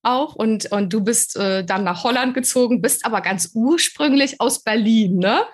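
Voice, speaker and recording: A woman's voice: fairly high pitch, normal speed. A woman from Prague, a native Czech speaker, hosting an interview with affirming, engaged questions. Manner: warm, affirming, frequent hums of agreement. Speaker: interview host. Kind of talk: conversational interview.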